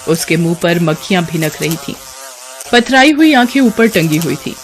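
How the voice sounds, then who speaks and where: A woman's voice: on the low side, lively intonation, normal speed, a little hiss or hum. A woman reading scripted literary story narration as the narrator.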